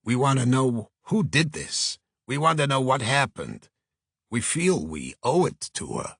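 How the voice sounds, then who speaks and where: A man's voice: mid-range voice, a little slow, vocal fry, breathy edge, no echo, clean studio sound, voice pushed up, exaggerated voice. A man voicing an earnest, effusive New York talent agent with a Brooklyn accent in an audiobook. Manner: effusive, flattering, salesman-like. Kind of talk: audiobook character voice.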